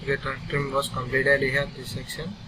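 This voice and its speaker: Indian man teaching over loud noise. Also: mid-range voice, monotone. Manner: halting, instructional, in short clipped phrases. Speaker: software tutorial instructor. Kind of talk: step-by-step screen tutorial narration. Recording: distant mic, loud vehicle-like background noise.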